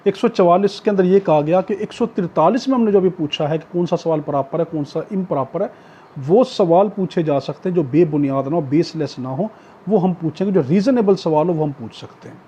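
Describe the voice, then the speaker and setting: A man's voice: on the high side, fairly quick, mellow, breathy edge. A man with a Pakistani accent giving an explanatory law lecture, didactic, repeating and rephrasing his points. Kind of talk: explanatory law lecture, reading out provisions then paraphrasing. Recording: dull, muffled lo-fi recording.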